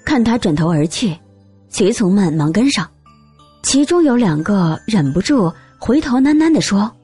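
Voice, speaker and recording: A woman reading a novel aloud as an expressive, scripted audiobook narrator. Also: mid-range voice, moderate pace, breathy edge, soft background music.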